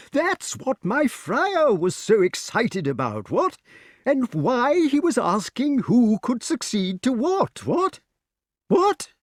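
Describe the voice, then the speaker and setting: A man voicing a dithering, excitable, petulant elderly king in a storybook, with a flustered, repetitive manner. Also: sits high, dry recording, clean studio sound, voice pushed up, exaggerated voice. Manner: flustered, repetitive, peppered with interjections.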